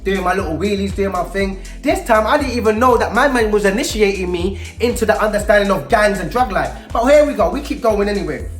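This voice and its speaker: A man with a London accent tells a personal story to young people in street slang, animated and emphatic, acting out dialogue as he goes. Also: sits high, a little fast, few pauses. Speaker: storyteller and youth mentor. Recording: roomy echo with faint music underneath.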